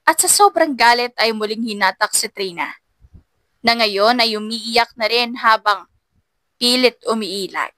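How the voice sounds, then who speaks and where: Bright, high-voiced woman narrating dramatically. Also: no room sound. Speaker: audiobook narrator. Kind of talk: dramatic scripted story narration.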